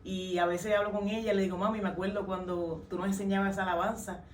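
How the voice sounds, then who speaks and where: A woman's voice: mid-range voice, little pitch movement, quick pace, a little hiss or hum. Puerto Rican woman, earnest, roomy sound. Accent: Puerto Rican Spanish. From Puerto Rico. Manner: earnest, many hesitations and fillers. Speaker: guest, worship singer. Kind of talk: testimony in an interview. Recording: roomy, distant mic, faint ambience.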